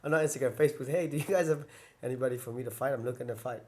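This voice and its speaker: A man's voice: mid-range voice, rapid-fire, darkish tone. A young man sits as the guest on an interview podcast and talks through his early fighting days. He wanders off on tangents, fills his sentences with "like," and keeps going back to correct himself. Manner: rambling, filler-heavy, self-correcting. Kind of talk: casual storytelling interview.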